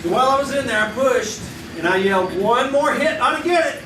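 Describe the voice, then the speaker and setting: An actor in a live radio play, playing a middle-aged man who tells an animated childhood story around a campfire. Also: very high-pitched, brisk. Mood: lively. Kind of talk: live old-time radio play acting. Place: live theater stage. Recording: echoey live theater from far off with room noise.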